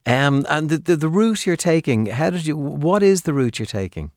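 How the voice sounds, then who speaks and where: A man hosting a radio interview, asking questions and giving short, low acknowledgements. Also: sing-song, moderate pace, darkish tone, no room sound. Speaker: radio host.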